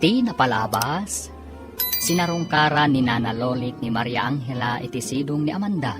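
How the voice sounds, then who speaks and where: A woman's voice: a bass voice, animated, moderate pace, music in the background. A woman with a Filipino accent reading scripted narration as the narrator of a radio drama.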